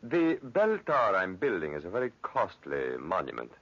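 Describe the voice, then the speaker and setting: An actor playing a vain, violent master architect in a pompous, theatrical old-time radio style. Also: male voice, low-pitched, huge pitch swings, normal speed, fairly bright tone, exaggerated voice. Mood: slyly persuasive. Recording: dull, lo-fi old radio recording.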